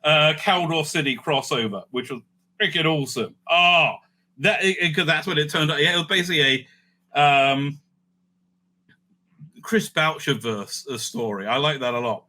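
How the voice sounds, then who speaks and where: A man hosting a casual, off-the-cuff ranking commentary, animated and thinking aloud with frequent asides. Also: on the high side, normal speed. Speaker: host ranking TV serials.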